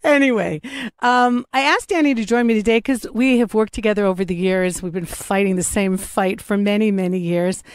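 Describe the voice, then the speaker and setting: A woman's voice: mid-range voice, normal speed, clear, resonant tone, no echo, clean studio sound. Chatty woman hosting an outdoor interview. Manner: chatty, animated, joking. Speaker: talk show host. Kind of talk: casual interview. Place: outdoors on a platform.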